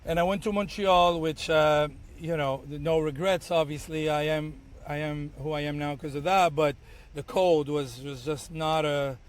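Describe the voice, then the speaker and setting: A man in his thirties, Arabic his first language, talks in a lively, storytelling way, acting out his own past lines with many restarts. His voice sits a little higher than most men's. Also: a little slow, a little hiss or hum. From Tunisia. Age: 30s. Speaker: bartender guest. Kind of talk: casual podcast conversation.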